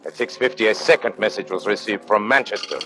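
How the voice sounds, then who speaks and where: An actor narrating a radio mystery as a grave storyteller, formal and measured. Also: male voice, on the low side, over music, lightly acted. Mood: grave, suspenseful.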